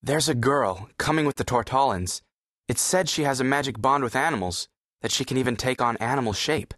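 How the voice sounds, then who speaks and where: An actor playing a bored, sarcastic young prince with an aristocratic, disdainful manner, in an audiobook. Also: male voice, medium pitch, a little fast, fairly bright tone, no room sound, clean studio sound, lightly acted. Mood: wry disdain. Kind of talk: full-cast audiobook character acting.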